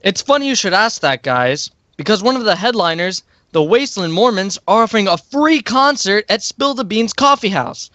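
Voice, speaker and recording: A man acts out a confident student music-club DJ with a high voice. He promotes events in a breezy radio-play style. The audio is very dry and slightly muffled. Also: animated, moderate pace, few pauses, bright, forceful tone, no echo. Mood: breezy, assured.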